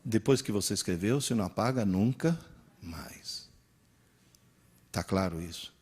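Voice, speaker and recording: Husky, animated man in his sixties. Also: lowish voice, a little slow. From Italy. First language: Italian. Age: 60s. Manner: animated, storytelling, quizzes the audience. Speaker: teacher giving a lecture. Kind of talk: interactive lecture to teachers. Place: lecture hall with audience.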